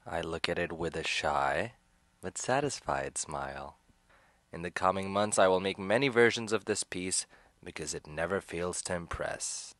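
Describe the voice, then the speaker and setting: Man with a low voice, dry close sound, expressive literary storytelling. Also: moderate pace, dry recording. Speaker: performer reading a literary piece. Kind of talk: dramatic reading of first-person memoir.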